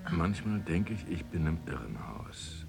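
An embittered poet in a radio play, ranting and declaiming with contempt. His delivery is slow and theatrical, swinging widely in pitch, with music behind him. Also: sing-song, creaky, heavily acted. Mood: bitter, brooding. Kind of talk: radio drama acting.

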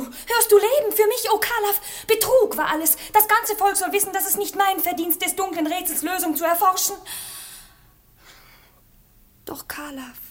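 High-pitched, impassioned princess. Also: female voice. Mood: alarmed, pleading. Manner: declamatory, emotional. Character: proud young princess softened by confessed love. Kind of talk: theatrical German radio play acting.

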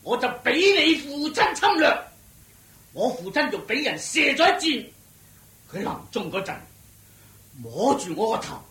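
A defiant warrior king, all bluster and stammer in a radio drama. The voice is pitched high and swoops dramatically, recorded with a clear echo. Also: sing-song, measured pace, long pauses, sharp tone, full character voice. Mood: defiant, bitter. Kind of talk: Cantonese radio drama acting.

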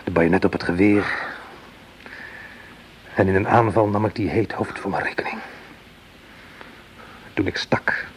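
Radio play soldier,: lowish voice, earnest and dramatic, troubled and defensive, faint noise on the recording. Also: male voice, fairly even intonation, a little slow, pauses often, poor audio. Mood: grave, haunted. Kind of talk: Dutch radio drama acting.

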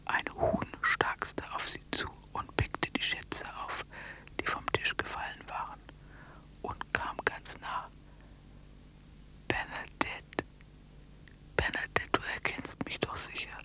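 Radio play storyteller, female performer: shrunken old woman, all breathy whisper, slow dramatic fairy-tale delivery. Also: lightly acted. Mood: hushed, dreamy.

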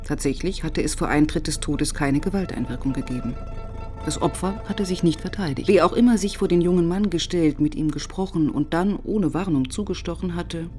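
An actress playing a reflective, probing police inspector in a radio crime drama, controlled and thoughtful. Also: female voice, on the low side, brisk, darkish tone, soft background music, close to an everyday voice. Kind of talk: radio crime drama, first-person narration and dialogue.

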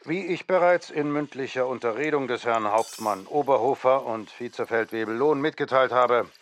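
An actor playing a formal official in a radio play, reading out letters in an officious, bureaucratic manner. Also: male voice, mid-range voice, moderate pace, lightly acted. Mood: stiff, formal.

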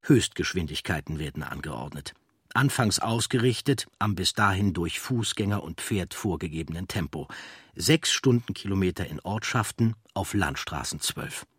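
A man's voice: low voice, lively intonation, normal speed, fairly bright tone, husky, no echo, clean studio sound. A man reading scripted narration for a documentary audiobook.

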